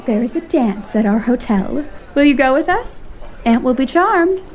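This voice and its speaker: A woman playing a refined, affectionate young lady abroad in a period audio drama, with an animated, formal way of talking. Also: sits high, moderate pace. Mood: delighted, excited. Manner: animated, genteel, formal phrasing.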